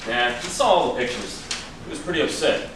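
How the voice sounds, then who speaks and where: A man's voice: fairly high pitch, expressive, fairly quick, close to an everyday voice. A man playing a teasing, probing detective in a staged reading, speaking in a conversational, musing way. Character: male detective, teasing, probing friend of another investigator. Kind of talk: stage acting at a script reading. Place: large room, staged reading recorded from afar. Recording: echoey room, far from mic, noisy.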